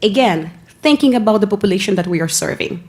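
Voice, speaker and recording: A woman from Haiti speaks with a Haitian accent at a school board meeting. Her delivery is earnest and halting, and she pauses often to find the right word. Her pitch sits in the ordinary middle range for a woman. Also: animated, a little mellow. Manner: earnest, with frequent pauses and restarts. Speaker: school family engagement staff member.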